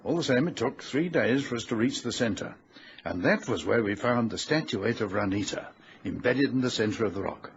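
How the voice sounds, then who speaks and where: A man plays a learned old titled gentleman of a radio drama, genteel and genial. His delivery swings widely in pitch as he explains things in formal phrases. The recording is dull, with a faint hiss. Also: animated, moderate pace, lightly acted. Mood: scholarly, expansive. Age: older. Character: genial, learned old titled English explorer, fatherly and dismissive.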